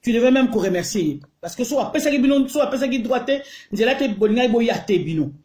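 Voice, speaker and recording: A woman's voice: on the high side, lively intonation, fast talker, darkish tone. Indignant Congolese woman ranting. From Congo (DRC). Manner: indignant, emphatic, mixes French and Lingala. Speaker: online commentator. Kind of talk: heated video rant. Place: small room indoors. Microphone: phone held close to the face. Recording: echoey room.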